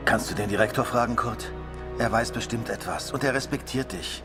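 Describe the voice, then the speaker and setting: A man's voice: mid-range voice, fairly quick, natural voice. Actor as a grave man in a reverberant hall. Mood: worried. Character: grave, concerned German factory man. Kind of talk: dramatic game dialogue in German. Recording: long hall reverb, faint music.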